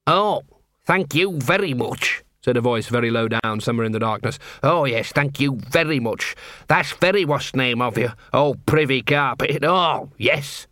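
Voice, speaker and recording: A man's voice: medium pitch, moderate pace, sharp tone, no echo, studio quality, close to an everyday voice. A man voicing a mocking, unseen figure from the darkness that grumbles sarcastically. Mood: sarcastic.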